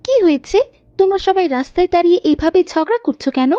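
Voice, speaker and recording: A woman's voice: very high-pitched, fast, mellow, no room sound, lo-fi sound. A woman voicing a pious, earnest little girl in a moral cartoon, speaking politely and instructively. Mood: concerned. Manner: polite, didactic. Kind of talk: scripted moral cartoon dialogue.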